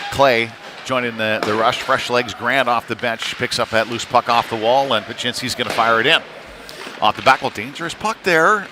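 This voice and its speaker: A man giving live play-by-play of youth hockey in a conversational, folksy manner, with pauses between calls. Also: mid-range voice. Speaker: play-by-play commentator. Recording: noisy live rink feed.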